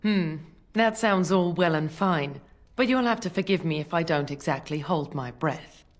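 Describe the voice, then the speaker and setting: A woman's voice: fairly low pitch, expressive, normal speed, close to an everyday voice. A woman playing a bitter, weary working mother in a video game, curt, coarse and scornful. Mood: sceptical. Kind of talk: video game character acting.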